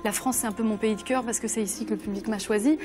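Fast-talking woman guest on a TV set. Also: fairly high pitch. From Belgium. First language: Italian. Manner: quick, warm and animated storytelling. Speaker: guest singer. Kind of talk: casual talk show chat. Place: TV studio set at a dinner table.

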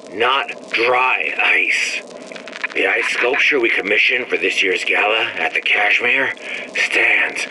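An actor playing an irate, sardonic businessman making a pointed complaint in a game audio log. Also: fairly low pitch. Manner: indignant, sarcastic, pointed. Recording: noisy old audio-log recording.

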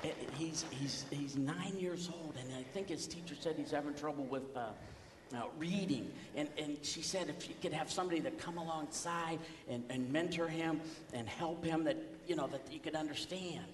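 A man's voice: on the high side, very animated, normal speed. A man acting a sheepish, pushy, evasive friend who stammers as he wheedles, in a comic drama, in a noisy reverberant hall. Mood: sheepish, coaxing. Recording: reverberant hall with noticeable background noise.

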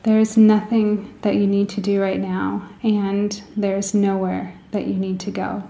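Slow, mellow woman guiding meditation. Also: mid-range voice, completely flat delivery, vocal fry, roomy sound. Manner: soothing, gentle, deliberate phrasing with pauses. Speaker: guided meditation leader. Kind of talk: guided meditation.

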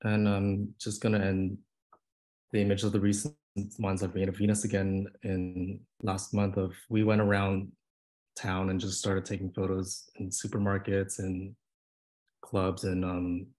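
A man's voice: low-pitched, completely flat delivery, a little slow, darkish tone. A young man presenting his photography on a video call, talking casually and nervously with lots of fillers. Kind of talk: casual artist talk.

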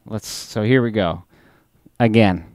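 A man's voice: lowish voice, takes it slowly, darkish tone. Laid-back young man explaining studio tricks. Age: young adult. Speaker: musician hosting a recording tutorial. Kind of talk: casual instructional talk to camera. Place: small indoor home studio.

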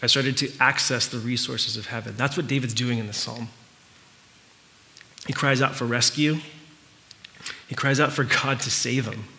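A middle-aged man whose first language is Spanish, preaching a conversational sermon in an earnest, informal way with halting pauses and repeats. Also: mid-range voice, fairly even intonation, bright, forceful tone. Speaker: pastor preaching. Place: church hall with stage, indoors, before a congregation.

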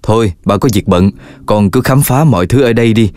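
A man voicing a patient, instructive adult dog father in an animated cartoon, explaining things in a measured way. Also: on the low side, dry recording, studio quality, close to an everyday voice. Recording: loud music behind the voice.

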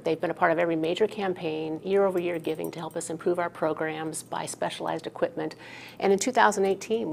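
A woman's voice: on the low side, vocal fry, darkish tone, a little hiss or hum. A woman, speaking earnestly as an executive being interviewed. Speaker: chief executive, interview guest. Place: indoor office room, television shoot.

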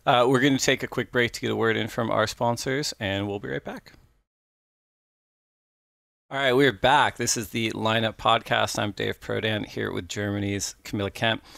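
Gravelly middle-aged male podcast host. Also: on the low side, moderate pace, no room sound. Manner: relaxed, conversational, animated storytelling. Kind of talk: casual interview over video call. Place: home office indoors. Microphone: headset mic.

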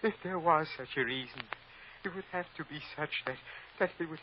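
An actor playing a pious, anxious, stubborn father with halting, formal speech in an old-time radio drama. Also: fairly high pitch, natural voice. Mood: anguished, wavering. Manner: halting, repeats himself, formal wording. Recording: dull, noisy old radio recording.

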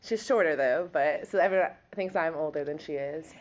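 A woman's voice: sits low, moderate pace, muffled recording. A young woman in her twenties from Wisconsin, a college volleyball player answering interview questions in a chatty, giggly way with lots of fillers. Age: 20s.

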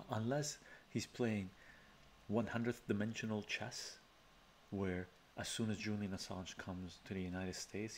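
A middle-aged man from Canada hosting a relaxed ASMR live stream from his home study, chatting softly, chuckling, often adding "right?". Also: sits low, a little hiss or hum. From Canada, of Armenian descent from Iran. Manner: soft-spoken, chuckling, chatty asides with frequent "right?". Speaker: live-stream host reading chat. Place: home study lined with bookshelves. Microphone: webcam framing at desk.

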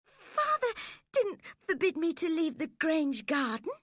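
A woman voicing a spoiled, imperious teenage girl in a storybook reading, with a high, petulant delivery. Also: big pitch swings, a little slow, fairly bright tone, dry recording, studio quality, exaggerated voice. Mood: tearful. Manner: haughty, petulant, high-pitched.

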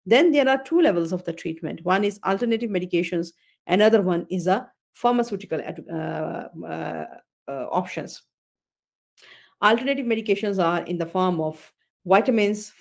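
A woman's voice: on the low side, moderate pace, vocal fry. A woman in her fifties, an obstetrician hosting a webinar on a video call, explaining slides, often repeating phrases and correcting herself with fillers. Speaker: OB-GYN consultant hosting a webinar. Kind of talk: webinar lecture over slides. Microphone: computer mic on a video call.

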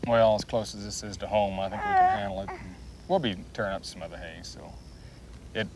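A man's voice: on the low side, wildly swooping pitch, brisk, noisy background. A man, a farmer chatting casually with family outdoors. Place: outdoors on farmland.

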